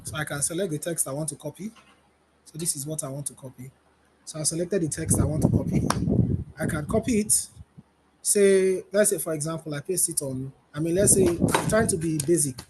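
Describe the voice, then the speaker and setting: Man demoing over loud noise. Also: fairly high pitch, fairly quick. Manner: loose, repeats himself while demonstrating. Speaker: panelist. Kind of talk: webinar demonstration.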